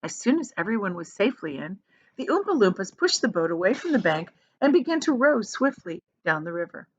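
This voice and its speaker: A woman's voice: medium pitch, sing-song, a little fast, dull audio, a little hiss or hum. A woman reading a children's story aloud expressively, with emphatic delivery that lingers on descriptive words. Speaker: storybook reader.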